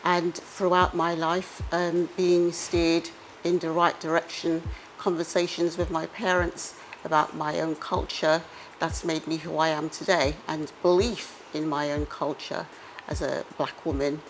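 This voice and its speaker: A woman speaking in a measured, earnest way in an interview. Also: sits low, level tone, a little hiss or hum, rough recording. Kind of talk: interview testimony.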